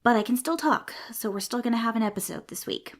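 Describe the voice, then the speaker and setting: A woman's voice: fairly high pitch, animated, fast. A woman hosting a casual podcast and explaining things in a chatty, friendly way, her voice a bit snuffly from a cold. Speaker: podcast host. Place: a small home recording room.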